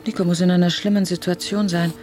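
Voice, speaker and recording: Woman acting earnest, wry female visitor, kinda low voice, uneasy, radio drama with music under. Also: little pitch movement, fast, mellow, natural voice. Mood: worried.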